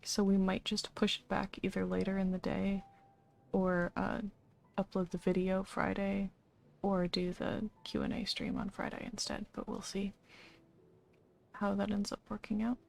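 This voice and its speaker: A woman livestreamer chatting casually while gaming, in a low-key, somewhat hesitant way. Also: level tone, unhurried, creaky, darkish tone, slight background noise. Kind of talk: casual game stream chat.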